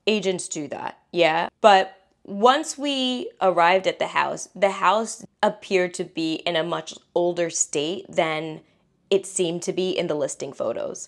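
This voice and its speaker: An American woman with a slightly raspy voice, chatting casually and playfully in slangy vlog narration as she presents a house tour. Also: lowish voice. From United States. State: raspy, tired voice.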